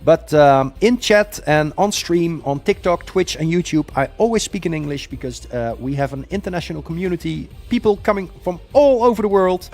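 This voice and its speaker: Dutch guy streaming a racing game, a bit high voice, lots of ums. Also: expressive, moderate pace, few pauses, a little mellow, soft background music. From Netherlands. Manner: rambling, many ums and uhs. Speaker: gaming streamer. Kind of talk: casual live-stream chat.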